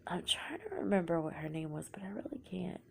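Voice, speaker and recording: A woman's voice: on the low side, sing-song, a little fast, gravelly, a little mellow, husky. A young woman with a sore throat from a cold, telling her own story in a casual, confessional way and often trailing off into a whisper. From Oklahoma, United States.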